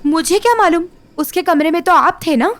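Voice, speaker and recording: A woman's voice: very high-pitched, normal speed, fairly bright tone. A woman playing a defiant, resentful young woman in a household audio drama, with a defensive, complaining way of talking. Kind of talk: Hindi audio story drama. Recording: background music under the voice.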